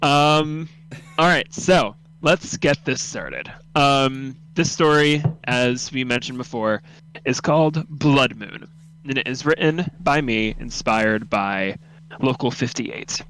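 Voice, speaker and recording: A man's voice: mid-range voice, slow pace, a bit bright, slight background noise, poor audio. A young man who hosts and narrates an amateur radio drama, moving between casual chat and scripted story reading.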